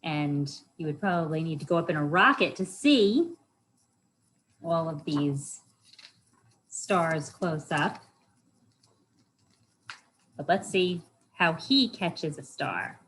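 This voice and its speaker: A woman's voice: low voice, lively intonation, slow pace, long pauses, a bit bright, slight background noise, low-quality recording. A woman hosting a storytime for children, reading aloud and chatting in simple words, often putting questions to her young listeners. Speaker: library storytime host for children.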